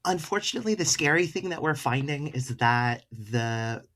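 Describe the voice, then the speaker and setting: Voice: medium pitch, expressive, moderate pace, fairly bright tone. A podcast guest chatting casually and informally. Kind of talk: casual chat.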